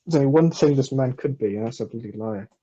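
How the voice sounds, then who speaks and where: A man's voice: mid-range voice, fast, mellow. Guy mocking his slides, crude jokes, dull lo-fi audio. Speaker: comedy presenter. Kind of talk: joking slide presentation. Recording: dull lo-fi recording.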